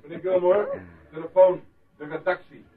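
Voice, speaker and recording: A man's voice: high voice, wildly swooping pitch, moderate pace, gravelly, heavily acted. Blunt village barman, phone-like sound. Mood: busy, brusque. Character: gruff, blunt village barman, easily irritated. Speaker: actor in a radio play. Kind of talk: radio play acting. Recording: muffled, phone-like lo-fi radio play.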